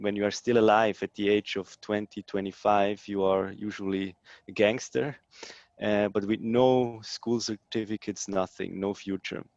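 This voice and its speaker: A man in his thirties from Switzerland, answering interview questions in a hesitant way with many pauses and ums. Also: on the low side, a little mellow, dry recording, lo-fi sound. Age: 30s. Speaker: social entrepreneur guest.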